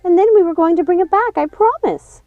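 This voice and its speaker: A frantic witch sister from a storybook, pleads in a very high, shrill voice. She wheedles and repeats herself fretfully, loud and urgent. The sound is slightly dull with music beneath. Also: brisk, no echo. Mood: pleading.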